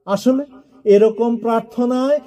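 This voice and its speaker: A man reciting poems in a solemn style, with deliberate, measured phrasing and dramatic pauses. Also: extremely high pitch, dark tone. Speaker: poetry reciter.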